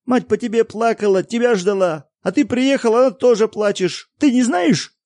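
A man voicing a defiant peasant boy who scolds his parents in a high, indignant voice. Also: normal speed, a little mellow, dry recording, clean studio sound. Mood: pleading, scolding.

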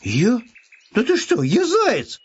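A man voicing an excitable, simple, cheerful young hare in a storybook tale, exclaiming in bewildered, colloquial bursts. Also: very high voice, takes it slowly, slightly rough, muffled recording, pitched above the performer's own voice, heavily acted. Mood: indignant.

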